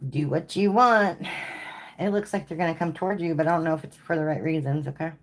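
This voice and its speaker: A woman's voice: low voice, quick pace. A woman giving a live tarot reading to viewers, casual and chatty, tired and saying she is losing her voice. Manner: casual, repeats "okay?" and "like". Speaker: tarot reader.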